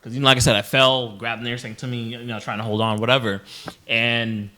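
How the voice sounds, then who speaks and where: A man's voice: medium pitch, flat delivery, fast talker, bright, forceful tone, slight background noise. A man born in Haiti hosting a casual podcast, telling stories in a rambling way with lots of fillers and restarts. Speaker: podcast host.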